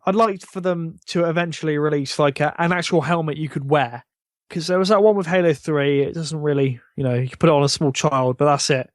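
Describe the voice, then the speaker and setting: A man's voice: a little high, steady tone, fairly quick, no room sound, clean studio sound. A man in casual gaming podcast chat, speaking bluntly and with plenty of opinion. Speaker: podcast panelist.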